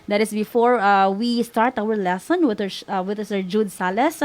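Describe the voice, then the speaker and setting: A woman's voice: medium pitch, normal speed. A woman with a Filipino accent co-hosting a radio school program, giving conversational reminders to students and often tagging phrases with "no?". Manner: mixes in local-language phrases, tags with "no?".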